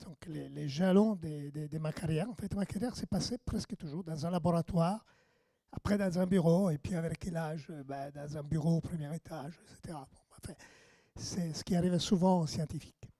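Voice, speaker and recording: Guy in his 60s giving a talk, voice kinda hoarse, dry close sound, lots of euhs. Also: on the high side, dark tone, no echo. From Italy. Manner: halting, many fillers and restarts. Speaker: conference speaker, radiochemist. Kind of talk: conference lecture.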